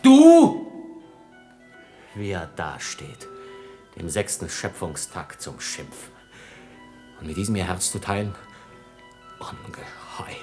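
A man's voice: low voice, huge pitch swings, takes it slowly, pauses often, warm tone, faint music behind, full character voice. An actor playing a proud, betrayed young nobleman with biting, declamatory speech in a classical radio drama. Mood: raging. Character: betrayed young nobleman officer, passionate, proud, bitterly sarcastic.

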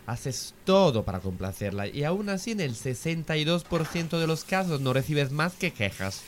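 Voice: mid-range voice, sing-song, normal speed, slight background noise, close to an everyday voice. An actor playing an earnest, stubborn teenage son in a radio drama, protesting sensitively. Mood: reproachful.

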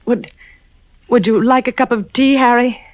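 An actress playing a frightened, evasive wife with a hesitant, pleading manner in a 1940s radio drama. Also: female voice, a little high, normal speed, close to an everyday voice. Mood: nervous. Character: frightened, evasive, secretive wife with a fragile sweetness. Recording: dull, muffled 1940s radio recording.

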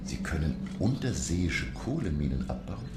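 An actor playing a learned, curious professor who narrates his undersea adventure in a radio play, gravelly and measured. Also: sing-song, very noisy. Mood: curious, probing. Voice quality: very deep, gravelly. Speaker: narrator and character.

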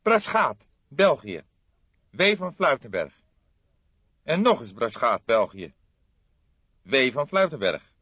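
A man voicing a young, eager detective sidekick in an audiobook, quick, emphatic and inquisitive. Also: mid-range voice, very animated, sharp tone, breathy edge, dry recording, dull audio, voice pushed up, heavily acted. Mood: informative, brisk.

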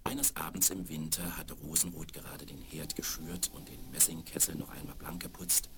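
A man's voice: rumbling low, fairly even intonation, moderate pace, gravelly. A man narrating a scripted fairy tale for children as storyteller, on a crackly 1970s vinyl record.

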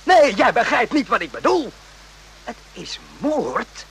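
An actor playing an agitated, outraged soldier in an emphatic radio drama style, repeating himself and swearing. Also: male voice, extremely high pitch, big pitch swings, slow pace, fairly bright tone, slightly rough, heavily acted. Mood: outraged, pressing. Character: agitated, morally outraged soldier in the Vietnam War.

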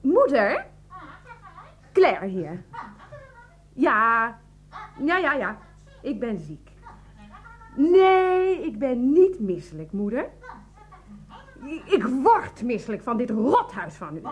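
An actress playing a sarcastic, exasperated Dutch wife who is quick to rage, in a radio drama. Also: female voice, sits high, huge pitch swings, exaggerated voice. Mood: exasperated sarcasm.

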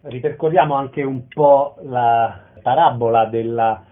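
A man's voice: mid-range voice, measured pace, narrow, tinny audio. A man interviewing a guest on the radio, putting orderly, somewhat formal questions, heard in thin phone-band sound.

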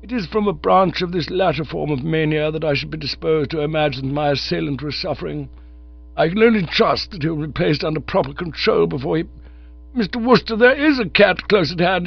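A man voicing a pompous, indignant elderly gentleman who speaks in stiff, formal sentences in an audiobook. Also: fairly high pitch, a little fast, creaky, highs cut off, voice pushed up. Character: pompous elderly male nerve specialist.

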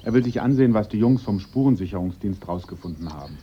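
A man's voice: lowish voice, expressive, quick pace, lightly acted. A man playing a deferential, matter-of-fact police sergeant in a German radio drama. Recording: muffled radio recording, faint noise.

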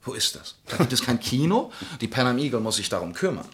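A breezy film writer in a radio drama. He chatters and name-drops with exuberance, his mid-pitched voice swinging widely up and down. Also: sing-song, fast, fairly bright tone. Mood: self-important. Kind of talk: German radio play acting.

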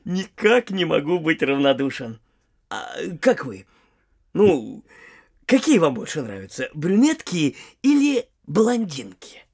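Casting: flighty young dandy and sponger for comic radio drama, high swooping voice, affected and self-satisfied. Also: male voice, sing-song, slow, creaky, darkish tone, breathy edge, heavily acted. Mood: teasing, prying. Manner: affected, drawling, giggly, with hesitant filler sounds. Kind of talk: theatrical audio play acting of classic comedy.

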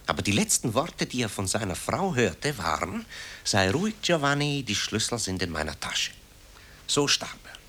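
A man's voice: lowish voice, huge pitch swings, moderate pace, sharp tone, slight background noise, heavily acted. An actor playing a bitter, guilt-ridden composer who accuses his mother in eloquent, theatrical radio play style. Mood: bitter, agitated. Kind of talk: German radio play acting.

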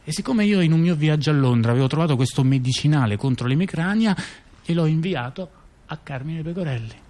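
A man performing conversational satirical storytelling, halting and digressive, repeating phrases and checking in with his listener. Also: on the high side, lively intonation, dark tone. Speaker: storyteller-performer in a two-person stage dialogue.

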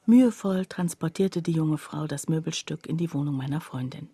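A woman narrating a literary radio play in the first person, in a measured, hushed, reflective way. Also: deep voice, breathy edge, no echo.

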